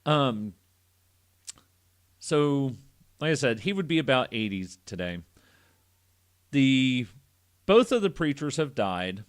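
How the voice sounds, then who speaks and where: A man's voice: medium pitch, very animated, slow, long pauses, clear, resonant tone. A man on a podcast panel telling a story in a casual, joking, profane way with banter.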